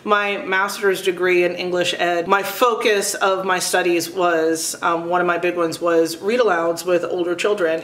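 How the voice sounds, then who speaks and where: A woman's voice: lowish voice, a bit bright, roomy sound. A middle-aged woman giving casual book recommendations in a chatty, enthusiastic, emphatic way, as a video host.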